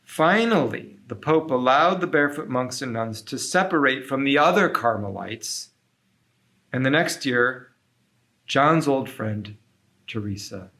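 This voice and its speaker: A man narrating a scripted children's story in an expressive, measured storytelling manner with deliberate pauses. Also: medium pitch, vocal fry. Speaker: storyteller reading aloud.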